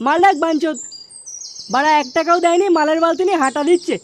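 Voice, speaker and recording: An adult plays a brash young ringleader in a comedy cartoon, with a high voice and quick delivery. The manner is bossy, crude and scolding, over a slightly noisy soundtrack. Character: bossy, foul-mouthed youth leading a gang of friends. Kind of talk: comic cartoon dubbing in colloquial Bengali.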